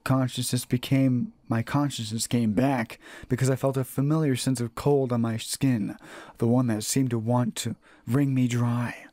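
A man's voice: medium pitch, steady tone, moderate pace, mellow, dry recording. A man narrating a horror visual novel as a let's play host, reading aloud and sometimes stumbling and rereading phrases. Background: game music.

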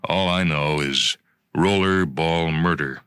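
A man performs an aging male athlete in an audio story, earnest and restless. The voice is low and bright, halting and tentative, recorded dry. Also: smooth, no echo, heavily acted. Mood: earnest, hesitant.

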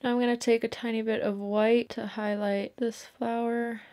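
A woman's voice: fairly high pitch, level tone, moderate pace, darkish tone. Chatty young woman narrating her makeup. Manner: chatty, explains each step as she paints. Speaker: makeup artist.

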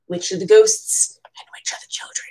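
A woman's voice: moderate pace, dark tone, in a whisper. A woman in her twenties with an American accent, reading a children's picture book aloud expressively, with casual chatty asides. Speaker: bookseller reading at a storytime event. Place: small room at home.

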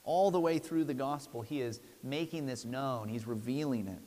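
A man's voice: mid-range voice, moderate pace, mellow. Man preaching in a reverberant hall. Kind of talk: sermon, expository preaching. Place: church sanctuary, at the pulpit. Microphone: lapel mic.

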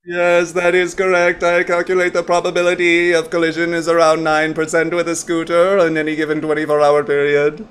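An actor playing an eager, formal and pedantic non-human newcomer who poses as a human in an audio comedy. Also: male voice, a little high, completely flat delivery, fairly quick, few pauses, fairly bright tone, clean tone, natural voice. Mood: earnest, pedantic. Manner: formal, pedantic, literal-minded. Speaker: new pupil in an online acting class. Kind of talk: comedy audio drama acting.

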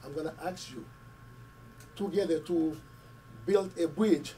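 A hoarse man with a cold speaks earnestly from a podium, setting his words out in short phrases with pauses. His voice sits a little high for a man. The mic picks him up from some distance, with faint room noise under it. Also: mellow. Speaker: school committee member. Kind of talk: community meeting speech. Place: community meeting room. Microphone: podium mic, camera at a distance.

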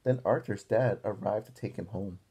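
A young man reading a picture book aloud to children as a teacher, pausing for encouraging asides and questions. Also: lowish voice, vocal fry, warm tone, breathy edge. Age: young adult. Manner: encouraging, asks listeners questions. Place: small room at home, indoors.

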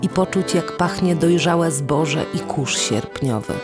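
A woman narrating a literary folktale as a storyteller, in lyrical, measured phrasing. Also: low-pitched, loud music behind the voice.

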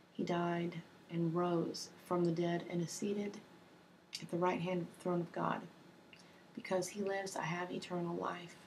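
A young woman reads in a soft, hushed ASMR manner, her voice hoarse from a cold and sitting low. Her delivery barely rises or falls. A faint hiss runs under the webcam recording of her small home office. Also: low-quality recording. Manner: soft-spoken, slow and even, with ums and uhs. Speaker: ASMR creator. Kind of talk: soft-spoken ASMR reading of a personal testimony.

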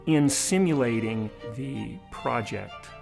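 A man's voice: mid-range voice, slow, gravelly. Middle-aged male engineer, documentary interview, formal technical delivery, faint music underneath. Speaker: director of engineering. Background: background music.

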